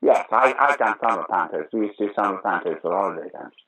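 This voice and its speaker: An older man with a low-pitched, rough-edged voice. He talks conversationally as an interview guest, telling anecdotes with dry wit. He states his opinions firmly and repeats phrases for emphasis. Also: expressive, quick pace, a bit bright. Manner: wry, opinionated, emphatic repetition. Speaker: interview guest, actor, writer and director of pantomime.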